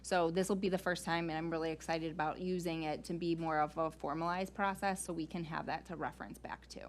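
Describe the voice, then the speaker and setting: Female school staffer, slightly low register, explaining earnestly at a meeting. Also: steady tone, a little fast, slight background noise. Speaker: school staff member presenting to a committee. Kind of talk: informal explanatory meeting presentation.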